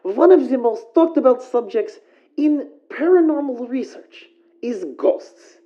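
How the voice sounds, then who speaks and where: Lively high-pitched uncanny radio host. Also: female voice, unhurried, highs cut off. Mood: intrigued, musing. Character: female host of an uncanny late-night radio show. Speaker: host of a paranormal call-in radio show. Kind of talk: scripted radio show presenting.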